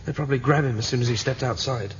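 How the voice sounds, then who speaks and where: A man playing a calm, practical guide in a radio drama, reassuring a companion. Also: mid-range voice, very fast, close to an everyday voice. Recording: dull lo-fi audio with faint noise.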